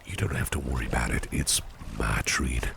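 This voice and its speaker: A man voicing a suave, attentive gentleman boss in a gravelly, half-whispered ASMR roleplay, speaking in a reassuring, coaxing way. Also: rumbling low, lively intonation, normal speed, noisy background, heavily acted. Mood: reassuring, generous.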